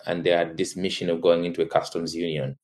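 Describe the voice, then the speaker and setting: A man from Zambia in his thirties, a college tutor, answering interview questions in a relaxed, hesitant way with many fillers and soft laughs. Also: sits low, quick pace.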